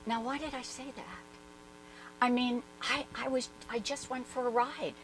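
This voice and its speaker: A woman's voice: fairly high pitch, fairly even intonation, measured pace, fairly bright tone, slight background noise, rough recording. A woman performing a dramatic reading as a brash, independent-minded woman recalling her past in emphatic, conversational asides. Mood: animated, wondering. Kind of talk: dramatic reading, animated first-person storytelling.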